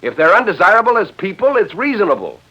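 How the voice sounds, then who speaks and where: A man's voice: high-pitched, sing-song, sharp tone, breathy edge, voice pushed up, exaggerated voice. An actor playing an earnest, stubborn man who objects insistently, in 1940s radio drama style. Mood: agitated, indignant. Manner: agitated, insistent, halting when interrupted. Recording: dull old radio recording.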